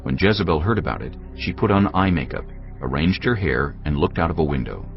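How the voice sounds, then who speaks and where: A man's voice: extremely low pitch, lively intonation, brisk, gravelly. A man narrating a dramatised audio Bible as a scripted, dramatic reading. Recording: dull lo-fi audio, music bed.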